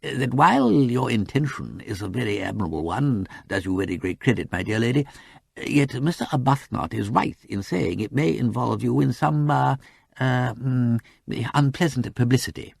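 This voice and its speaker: A man's voice: medium pitch, animated, vocal fry, breathy edge, dry recording, clean studio sound, pitched above the performer's own voice, full character voice. A man playing a fussy old hesitant lawyer. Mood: fretful. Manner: hesitant, halting with ums. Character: elderly fussy male lawyer.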